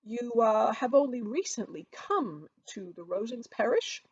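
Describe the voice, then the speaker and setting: A woman voicing a proud, reserved, disdainful gentleman of high rank in an audiobook, in a cool, dry, formal manner. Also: lively intonation, a little slow, slightly rough, lo-fi sound, full character voice. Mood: coolly polite. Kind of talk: audiobook character voice.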